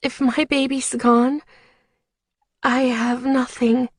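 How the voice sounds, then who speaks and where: A woman's voice: sits high, little pitch movement, takes it slowly, no room sound, clean studio sound. A woman narrating a dramatic first-person audiobook in an emotive, scripted read.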